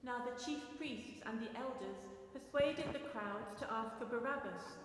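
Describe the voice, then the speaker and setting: A woman's voice: on the high side, normal speed. Woman narrating scripture in very echoey hall. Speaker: Gospel narrator. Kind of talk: solemn dramatic scripture narration. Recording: very echoey hall, faint noise.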